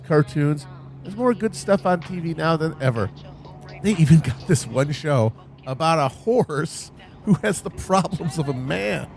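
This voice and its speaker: A man playing a placid, cheerful, oblivious cab driver with a folksy, easygoing manner in a radio-play parody. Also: a little high. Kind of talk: parody of old-time radio drama. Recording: car noise behind the voice.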